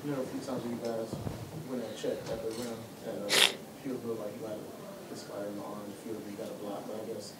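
Quick male reporter off-mic in a press room, flat, rambling questions, audible room noise. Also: fairly low pitch.

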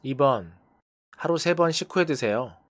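Brisk teacher calling out numbered phrases. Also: mid-range voice, expressive, no room sound, studio quality. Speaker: English teacher. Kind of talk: language lesson instruction.

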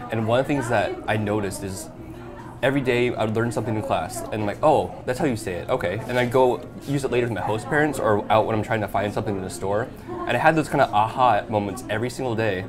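Young guy rattling on fast about studying abroad, lowish voice, lapel mic indoors. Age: 20s. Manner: eager, talkative, quick restarts. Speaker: former study abroad student. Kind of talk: casual interview talk. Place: small shop with shelves, indoors.

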